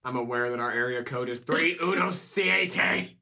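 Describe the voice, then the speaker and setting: A man's voice: mid-range voice, normal speed, gravelly. A man from Indiana, co-hosting a podcast in crude, joking banter. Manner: crude, joking, loud bursts. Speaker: podcast co-host. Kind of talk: casual banter.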